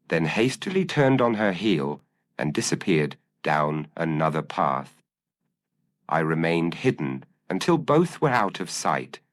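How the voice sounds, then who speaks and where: A man narrating a gothic detective story in a measured, formal manner. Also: low-pitched, no echo. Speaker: audiobook narrator.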